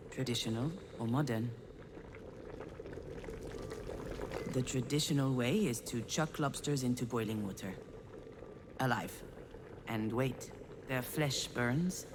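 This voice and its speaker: Low female voice, cynical failed screenwriter, wry and measured, introspective visual novel monologue, some background noise. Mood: grim, detached. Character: failed woman screenwriter, cynical, melancholic, self-deprecating loner. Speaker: narrator protagonist of a visual novel.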